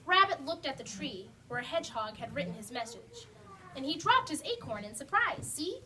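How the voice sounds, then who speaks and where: A woman narrating a children's puppet show, animated and speaking to a young audience. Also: high voice, fairly quick. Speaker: storyteller. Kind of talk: children's story reading. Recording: distant mic, faint noise.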